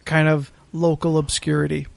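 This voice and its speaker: Higher-voiced man chatting casually, dry sound. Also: monotone, normal speed, creaky, no room sound. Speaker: podcast co-host. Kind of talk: casual chat.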